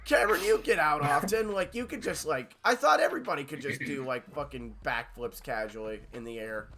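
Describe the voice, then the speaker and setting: A man's voice: fairly quick, crowd noise. A man with a head cold, casually chatting and joking while streaming a game. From Long Island, New York. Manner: joking, lively, trails off low at line ends. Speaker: streamer host. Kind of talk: casual chat while gaming. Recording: game music behind voice call.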